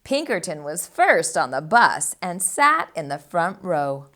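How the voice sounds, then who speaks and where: A woman hosting a storytime, reading a children's book aloud in an animated style for young listeners. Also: fairly low pitch, measured pace.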